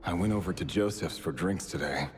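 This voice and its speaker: An actor voicing a dry, grumbling man reading his private diary in a video game, in a measured, wry manner. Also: deep voice, a little hiss or hum, lightly acted. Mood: wry, reserved. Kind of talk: video game voice-over monologue. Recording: long echoing reverb effect. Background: game music.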